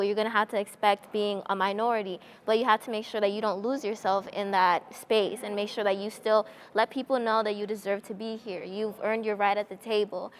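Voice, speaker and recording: A young adult woman, a student panelist, speaking in an articulate, measured way. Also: mid-range voice. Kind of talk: casual panel conversation.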